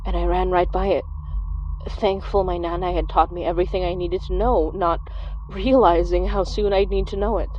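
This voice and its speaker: A woman's voice: lowish voice, steady tone, moderate pace, lo-fi sound, slight background noise, lightly acted. A woman playing a wry young woman who recounts a childhood monster chase in a horror audio drama. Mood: wry, reflective. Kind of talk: first-person horror storytelling in audio drama.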